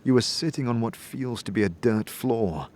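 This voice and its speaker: A man narrating a dramatic second-person story as a game master, in a measured, deliberate, atmospheric manner. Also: medium pitch, darkish tone, slight background noise. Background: music.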